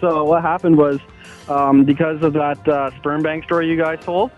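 A man's voice: fairly high pitch, normal speed, soft background music. Easygoing male caller on a phone line. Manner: relaxed, shrugging off bad news.

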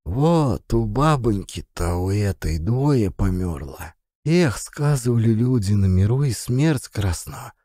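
A man's voice: fairly low pitch, expressive, takes it slowly, dark tone, no room sound, studio quality. A man voicing a weary, pious old peasant woman, lamenting in folksy, sighing speech. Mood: plaintive, weary.

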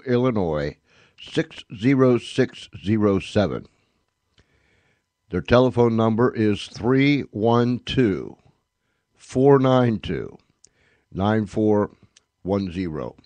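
A man's voice: fairly low pitch, darkish tone, no echo. A man hosting a radio show, announcing in a folksy way and repeating phrases for emphasis.

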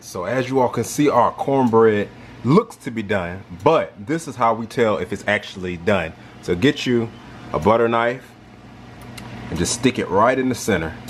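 A young man talks viewers through his home cooking step by step in a relaxed, chatty way. His voice sits fairly low. The handheld camera catches clear frying and stirring noise from the kitchen around him. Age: young adult. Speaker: cooking vlogger. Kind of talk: casual cooking demonstration. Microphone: handheld camera, close and at arm's length. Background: food sizzling in a pan.

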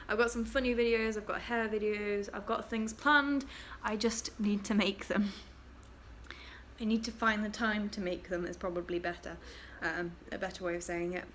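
A woman's voice: mid-range voice, fairly bright tone, slight background noise. Giggly young woman chatting to her webcam. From England. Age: young adult. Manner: chatty and giggly, trailing off into fillers. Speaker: vlogger. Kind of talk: casual chat to camera. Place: small bedroom, indoors. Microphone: laptop webcam at desk.

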